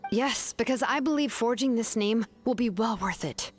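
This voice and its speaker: Woman playing young adventurer in a game, highish voice, super sincere, bit of music under it. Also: moderate pace, close to an everyday voice. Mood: resolute. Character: earnest, selfless young adventurer, brave and sincere. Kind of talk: scripted video game voice acting.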